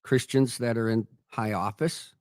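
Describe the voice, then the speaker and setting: Man with a middle-pitched voice preaching hard on a radio show, emphatic, dry mic. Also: fairly even intonation, measured pace, no echo. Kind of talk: preaching commentary.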